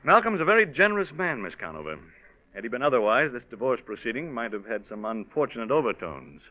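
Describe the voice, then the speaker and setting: A man's voice: mid-range voice, big pitch swings, fast, close to an everyday voice. An actor playing a formal, condescending man handling a divorce case in a 1940s radio drama, pointed and insinuating. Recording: narrow-band vintage radio recording.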